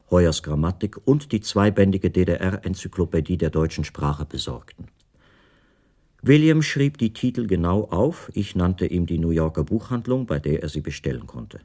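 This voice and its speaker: A man playing a composed captive writer, narrating in the first person for a radio drama in a measured, precise way. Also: low voice, mellow. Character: captive male writer recounting his imprisonment, precise, composed.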